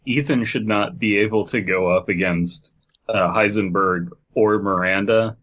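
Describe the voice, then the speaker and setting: A man's voice: lowish voice, measured pace, a bit bright, highs cut off. A man chatting casually about video games as a podcast co-host, rambling and acting out bits of dialogue.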